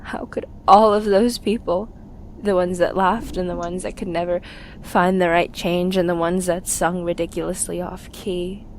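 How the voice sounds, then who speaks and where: A woman playing a young fugitive rebel, bitter and haunted with grief, recording an intimate, halting confessional monologue for an audio drama. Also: on the low side, flat delivery, a little hiss or hum, close to an everyday voice, low-quality recording. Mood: grieving.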